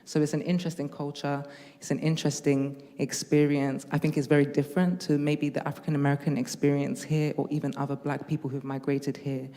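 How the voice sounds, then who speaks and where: A woman's voice: sits low, little pitch movement, fairly quick, dark tone. A woman from London with a London accent, speaking reflectively as a panelist. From London, England. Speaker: panel speaker, peacebuilding fellow. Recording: reverberant hall.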